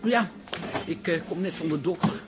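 An actress playing a hesitant, wheedling aunt who is a café regular in a radio drama. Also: female voice, on the low side, moderate pace, over music, close to an everyday voice. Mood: hesitant, sheepish. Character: plump aunt, a café regular, easily tempted. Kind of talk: Dutch radio drama acting.

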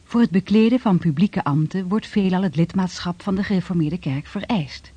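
Narrator, formal and measured, mellow husky tone, dull dry recording. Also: medium pitch, no echo. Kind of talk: scripted documentary narration.

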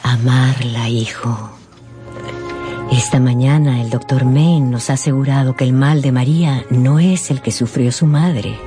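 Mellow-voiced, pleading anxious mother. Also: extremely low pitch, unhurried, faint music behind. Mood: tender, advising. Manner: pleading, emphatic. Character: anxious, loving middle-aged mother urging her son. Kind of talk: radio drama acting.

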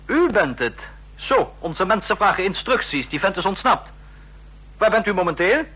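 An actor playing an eager young subordinate agent in a radio drama, urgent and deferential. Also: male voice, high voice, big pitch swings, moderate pace, bright, forceful tone, slightly rough, full character voice. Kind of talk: Dutch radio drama acting.

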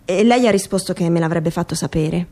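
A woman's voice: on the low side, a little fast, dark tone, natural voice. A woman performing a theatrical monologue as a world-weary old male sheriff, reflective and plain-spoken. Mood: tender, musing.

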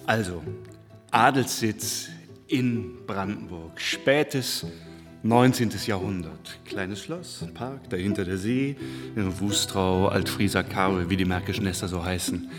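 Reverberant, eager slick male pitchman. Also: takes it slowly. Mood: eager, persuasive. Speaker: screenwriter pitching a series. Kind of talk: theatrical radio play monologue. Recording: long reverb, clear music behind.

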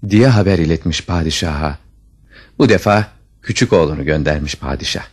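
A man's voice: a bass voice, a little fast, a little mellow, slightly rough. A man narrating a scripted fairy tale as a storyteller, with dramatic expression.

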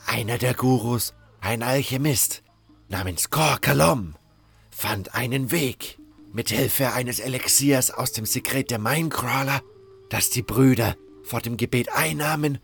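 A man playing a solemn cult guru preaching his faith in a fantasy audio drama, with a gravelly, husky voice and measured delivery. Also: medium pitch, a bit bright, exaggerated voice.

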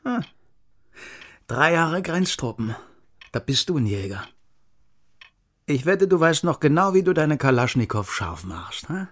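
An actor playing a menacing, hard-drinking East German hardliner in a radio crime drama, with a clipped, needling, conspiratorial manner. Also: male voice, fairly high pitch, big pitch swings, measured pace, pauses often, a bit bright, full character voice. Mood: goading, boastful.

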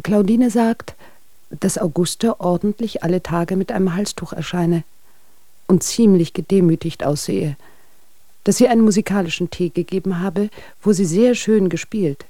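An actress playing a gossipy letter writer, reporting household news in a German radio drama. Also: female voice, lowish voice, normal speed, mellow, dry recording, lightly acted. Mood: reporting, wry.

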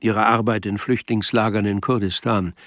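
A man's voice: on the low side, flat delivery, moderate pace, a bit bright, no echo, clean studio sound. A man reading literary scripted narration for a radio drama. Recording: music behind the voice.

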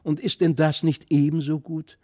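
A man voicing a mysterious, gentle fairy child in an earnest, lyrical way for a storybook reading. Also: on the high side, level tone, normal speed, dry recording, clean studio sound, pitched above the performer's own voice, lightly acted. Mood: gentle, reassuring. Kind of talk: literary audiobook reading.

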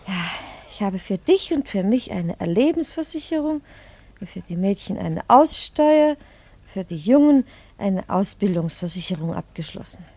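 An actress in a German radio play playing a cheerfully stubborn, soft-hearted wife, chatty, coaxing and excitable. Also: female voice, medium pitch, moderate pace, slight background noise. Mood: cheerful, persuading.

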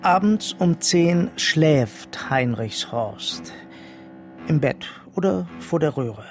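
An actor playing a deferential junior investigator in a radio crime drama, polite and a little hesitant, deliberate when he tells a tale. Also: on the high side, animated, faint music behind. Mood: suspenseful.

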